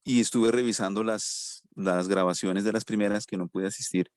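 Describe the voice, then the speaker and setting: A man's voice: lowish voice, steady tone, normal speed, darkish tone, clean tone, no echo, clean studio sound. A man, a student in an online English class, asking his teacher questions politely and hesitantly, with many restarts.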